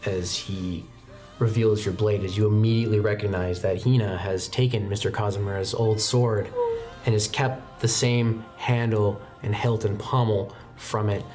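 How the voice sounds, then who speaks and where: A game master improvising dramatic narration for a tabletop game, with a theatrical, halting delivery. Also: sits low, fairly even intonation, darkish tone. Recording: music bed under the voice. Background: music.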